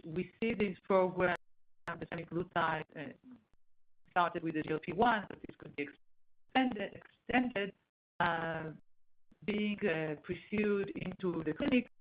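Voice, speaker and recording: A woman executive answering on an earnings call, explaining in a halting way as she searches for words. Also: on the low side. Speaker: company executive. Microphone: conference call line. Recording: dull, lo-fi conference call line.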